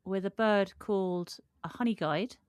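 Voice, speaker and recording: A woman's voice: mid-range voice, normal speed. A woman co-hosting a podcast, chatting casually and sharing facts in an enthusiastic, emphatic way. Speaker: podcast co-host.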